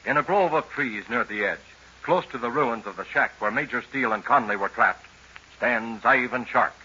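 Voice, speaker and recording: A man's voice: medium pitch, moderate pace, bright, forceful tone, slightly rough. A man narrating a 1940s radio serial as announcer, with scripted dramatic delivery. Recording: muffled old radio recording.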